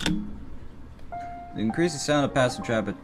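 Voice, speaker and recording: A man's voice: medium pitch, expressive, a little slow. A man reading game text aloud as a streamer, informal and sweary, with offhand comments. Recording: loud game music behind voice.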